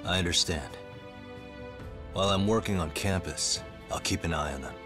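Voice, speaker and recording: A determined private detective with a deep voice , acted by a man for a video game. He speaks in a composed, measured manner. Music plays clearly behind him. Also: close to an everyday voice. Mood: polite. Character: calm, determined adult male private detective. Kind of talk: English game dub dialogue.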